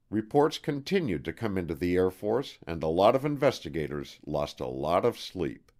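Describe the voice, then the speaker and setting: A man with a very low voice reads scripted non-fiction as an audiobook narrator. His intonation moves widely up and down. He phrases things deliberately and leans firmly on key words. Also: a little mellow.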